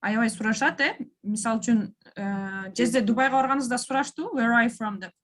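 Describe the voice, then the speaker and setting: High-voiced woman teaching a lesson. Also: fairly quick, a bit bright. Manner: encouraging, switches between languages. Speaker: English teacher. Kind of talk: online language lesson, explaining and prompting.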